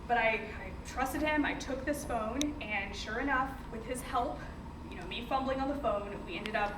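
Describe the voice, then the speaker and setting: A young American woman giving an informal, conversational presentation to a group, telling personal stories with animated emphasis. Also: on the high side, moderate pace. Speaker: company founder presenting to teachers. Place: indoor room, speaking to a group. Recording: roomy, distant mic, noisy background.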